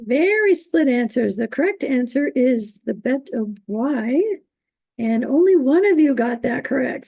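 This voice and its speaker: A woman moderating an online trivia quiz, reading out questions and standings, who is losing her voice and keeps clearing her throat. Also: high-pitched, dark tone, dry recording, rough recording. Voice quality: gravelly, husky. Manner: upbeat, reading standings, halting on invented words.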